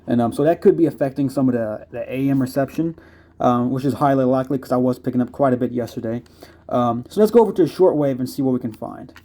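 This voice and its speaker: A man's voice: mid-range voice, fast, dark tone. An American man giving a casual, unscripted product review as a video host, explaining things conversationally. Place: indoors.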